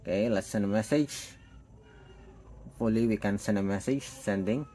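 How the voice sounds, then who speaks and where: A man's voice: fairly low pitch, moderate pace, mellow. A man giving an unscripted, step-by-step hands-on product demo, thinking aloud as he tests. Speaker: tech product reviewer.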